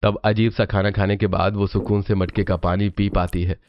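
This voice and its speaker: A man's voice: lowish voice, flat delivery, normal speed, dry recording. A man narrating a scripted cartoon story, on a muffled recording with music behind him.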